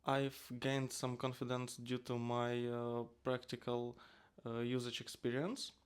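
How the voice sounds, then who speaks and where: A young man from Ukraine, a native Ukrainian speaker with a Ukrainian accent, giving halting, hesitant answers as an interview guest. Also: medium pitch, completely flat delivery, clear, resonant tone. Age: young adult. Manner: halting, hesitant with frequent uh pauses. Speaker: student guest.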